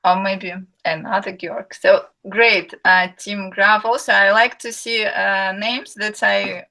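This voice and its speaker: A woman from Ukraine who speaks English as a hosts an online webinar through a computer mic. Her voice is bright, and she talks slowly, pausing often on "uh" as she greets viewers. She reads their chat messages aloud in a friendly way. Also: mid-range voice, steady tone, gravelly. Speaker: webinar host, marketing and sales manager. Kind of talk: live webinar hosting, chatting with viewers.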